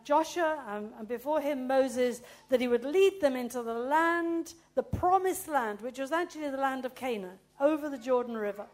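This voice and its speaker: A woman preaching a chatty sermon, loud, reading a Bible story aloud. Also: high-pitched.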